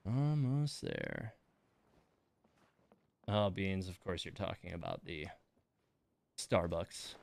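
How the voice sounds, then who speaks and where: A man's voice: low-pitched, huge pitch swings, slow, gravelly, pauses often, a little mellow. A man giving casual livestream game commentary, rambling and muttering to himself as he plays.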